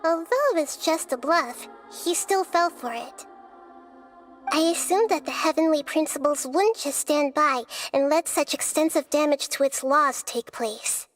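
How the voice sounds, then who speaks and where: A woman voicing a calm, thoughtful young girl who is a goddess of wisdom in a game, speaking softly and in measured phrases. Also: sits high, soft background music. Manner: soft, measured, explanatory. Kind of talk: video game dialogue.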